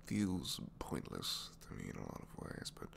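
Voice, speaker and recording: Man playing a low, gravelly, reluctant supervillain. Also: wildly swooping pitch, normal speed, slight background noise, full character voice. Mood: resigned, unsure. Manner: hesitant, wry, rambling, trailing tag questions, sighs, drops to whispers. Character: reluctant captured supervillain gadget inventor, self-deprecating, secretly kind man. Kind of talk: ASMR roleplay audio drama, one-sided conversation.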